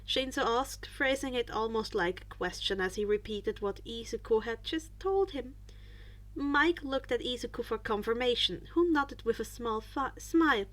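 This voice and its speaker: A woman narrating a written story as a podfic reader, with expressive scripted delivery. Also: on the high side, normal speed.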